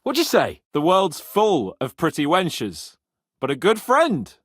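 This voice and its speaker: A young medieval lord from a video game, swaggering and quick to temper, yet a loyal friend. His voice sits fairly high and swings freely up and down, with a slightly husky edge. He teases and boasts as he goes. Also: wildly swooping pitch, a bit bright, dry recording, studio quality, heavily acted. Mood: cocky, friendly. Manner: swaggering, teasing, colourful swearing. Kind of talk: video game character acting.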